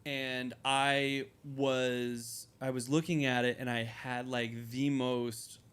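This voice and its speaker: A man's voice: medium pitch, level tone, slow, fairly bright tone, clear, resonant tone. Rambling, crude young man chatting. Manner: crude, rambling storyteller with frequent swearing. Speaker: podcast co-host. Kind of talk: casual chat.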